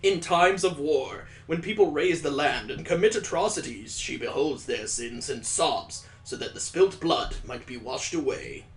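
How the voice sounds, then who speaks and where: A man's voice: a little high, a little slow, hardly any pauses, soft background music. A man playing a story game, reading its text aloud and breaking in with wry, mocking asides. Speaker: let's play host.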